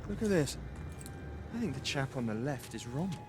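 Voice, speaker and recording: An actor playing a dutiful, dry-witted soldier with a clipped manner in a war game. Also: male voice, mid-range voice, very animated, moderate pace, lightly acted. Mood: wary. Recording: loud battlefield and vehicle noise.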